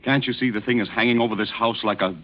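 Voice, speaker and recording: An actor playing a serious, troubled man who pleads earnestly and gravely, in 1940s radio drama style. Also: medium pitch, steady tone, fast, natural voice. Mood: anxious. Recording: dull vintage radio broadcast recording.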